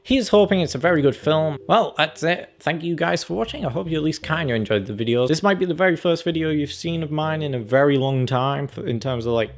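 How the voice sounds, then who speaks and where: A man's voice: on the high side, brisk, hardly any pauses. A man giving casual, opinionated video commentary as a review vlogger, in a rambling, conversational way. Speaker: film and game review vlogger.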